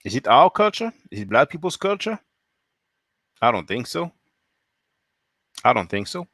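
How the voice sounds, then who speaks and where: A man's voice: medium pitch, very animated, moderate pace, creaky, a bit bright, no echo. Guy from france doing a solo live stream, answers chat, lots of rhetorical questions, dry sound.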